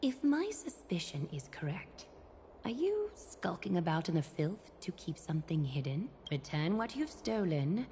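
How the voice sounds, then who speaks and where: A woman playing a stern, regal demigod lady in a game, speaking in a measured, imperious, mocking way. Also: on the low side, sing-song, a little hiss or hum. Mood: cool suspicion. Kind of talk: scripted game voice acting. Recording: loud music behind.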